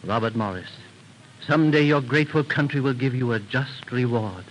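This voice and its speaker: A man's voice: mid-range voice, normal speed, dull audio, lightly acted. An actor, a man, playing a dignified, earnest army general in a formal, solemn 1930s radio drama manner. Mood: grave, urgent. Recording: noisy 1930s radio recording.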